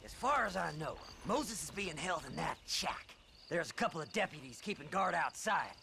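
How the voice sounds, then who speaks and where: An actor voicing a half-mad, greedy, filthy outlaw treasure hunter with an American accent and a manic, rambling delivery in a western video game. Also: male voice, fairly high pitch, sing-song, moderate pace, creaky, sharp tone, a little hiss or hum, exaggerated voice. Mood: scheming, excitable. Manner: manic, rambling, erratic swings. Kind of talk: video game character acting.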